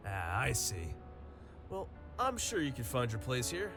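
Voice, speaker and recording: A man's voice: medium pitch, wildly swooping pitch, a little slow, music in the background. A man playing a cheeky young villain's henchman in an audio drama, with a casual, playful delivery. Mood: casual, doubtful.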